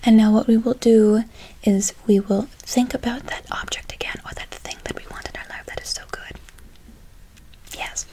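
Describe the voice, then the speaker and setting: A woman playing a gentle, doting witch in a whispered ASMR role play, speaking affectionately and unhurriedly, often repeating herself. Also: on the high side, steady tone, normal speed, lightly acted. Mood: soothing, affectionate. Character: gentle, doting female witch casting good-luck spells. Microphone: close ASMR microphone.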